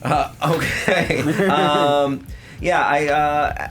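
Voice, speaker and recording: Halting, jokey male game show host. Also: on the high side, steady tone, a bit bright. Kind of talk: casual game show hosting.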